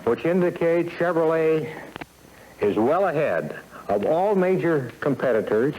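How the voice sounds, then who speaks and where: An American man delivering a scripted sales training lecture as a company executive, in a measured, formal manner with emphatic phrasing and deliberate pauses, on a 1970s film soundtrack. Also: a little high, animated, muffled recording, slight background noise.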